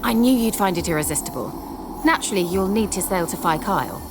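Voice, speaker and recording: An actress playing a haughty, sly sorceress in a video game, speaking in articulate, formal, dryly condescending phrases. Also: female voice, medium pitch, lively intonation, fairly quick, lightly acted. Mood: wry, instructive. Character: haughty, sly young sorceress posing as village witch. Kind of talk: dramatic video game dialogue. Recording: game music under the voice.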